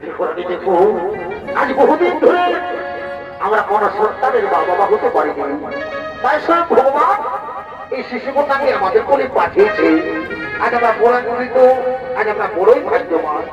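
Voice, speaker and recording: A man's voice: very high-pitched, big pitch swings, normal speed, long pauses, music in the background. A man playing a devout, joyful king and tender husband in emphatic, reverent folk stage drama style. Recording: echoey, dull, distant stage PA recording. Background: loud musical instruments.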